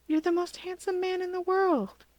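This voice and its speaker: A woman voicing a shy, lovestruck young man, a soft-hearted younger brother, in a fan fiction reading. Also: very high-pitched, flat delivery, normal speed, no echo, pitched above the performer's own voice. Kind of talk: fan fiction audiobook character voice.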